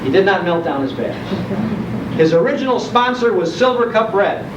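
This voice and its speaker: A man's voice: high voice, lively intonation, normal speed. Older American man speaking in echoey hall. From Chicago, United States. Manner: storytelling, rhetorical questions, humorous. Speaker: public speaker on old-time radio. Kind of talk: nostalgic talk to a live audience. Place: indoor program hall before an audience. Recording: echoey hall, distant mic, noisy, dull.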